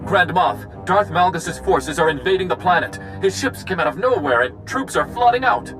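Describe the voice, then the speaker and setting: An actor playing a dutiful Imperial military officer in a game, with formal, clipped speech. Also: male voice, high voice, animated, moderate pace, over music. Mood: alarmed. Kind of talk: video game cutscene acting.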